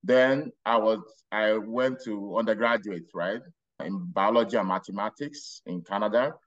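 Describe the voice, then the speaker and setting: A middle-aged man from Nigeria with a Nigerian accent, telling his life story as a podcast guest in a relaxed, rambling way. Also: fairly low pitch, highs cut off. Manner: unhurried, rambling with restarts and laughs. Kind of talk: casual interview storytelling.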